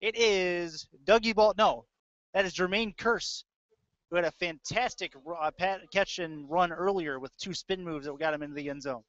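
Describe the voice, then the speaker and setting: Higher-pitched man calling football, dull audio. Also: normal speed, fairly bright tone, no echo. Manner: clipped running description of plays. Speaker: play-by-play sports announcer. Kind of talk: live American football commentary.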